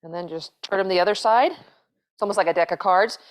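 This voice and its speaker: A woman's voice: mid-range voice, brisk. A middle-aged woman hosting a cooking demonstration in a home kitchen, talking casually and rambling with fillers and restarts on a lapel mic. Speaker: cooking show host, chef.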